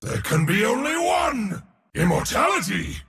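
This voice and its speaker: Pompous war god for a video game, gravelly, really dramatic swings, big reverb. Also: male voice, high-pitched, huge pitch swings, measured pace, fairly bright tone, exaggerated voice. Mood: battle-roused, commanding. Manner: pompous, theatrical, emphatic. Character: proud, boastful, battle-loving male god of war. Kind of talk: video game character voice lines.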